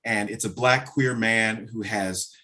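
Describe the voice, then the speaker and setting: A man speaking as a theater director in a conversational interview, with expressive, emphatic phrasing. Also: lowish voice, a little slow.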